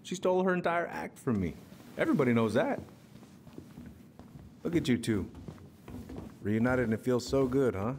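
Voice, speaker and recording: A man's voice: mid-range voice, expressive, moderate pace, long pauses, darkish tone, a little hiss or hum, lightly acted. An actor playing a genial, folksy middle-aged uncle who is a policeman, in a naturalistic video game drama. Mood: jovial. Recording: faint background ambience.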